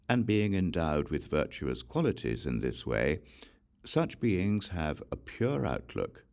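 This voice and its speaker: Very deep, gravelly male scripture reader. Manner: measured, solemn reading with lively rises and falls. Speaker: audiobook reader. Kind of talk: reading a scripture aloud.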